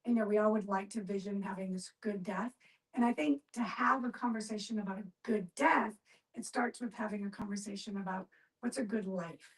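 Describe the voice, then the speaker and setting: A woman's voice: mid-range voice, steady tone, fairly quick. A woman explaining and advising in a group discussion, building her points with repeated phrases. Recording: distant mic, group discussion.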